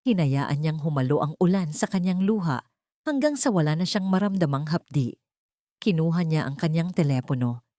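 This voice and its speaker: A woman narrator with a Filipino accent tells a dramatic audio story in a lowish voice. The recording is dry and close, with very little room around the words. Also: dark tone, no room sound. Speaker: narrator of audio love stories.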